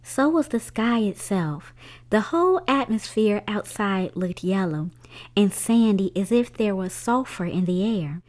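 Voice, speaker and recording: A woman reading a children's story aloud as a storyteller, with a dramatic, expressive delivery. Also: medium pitch, unhurried.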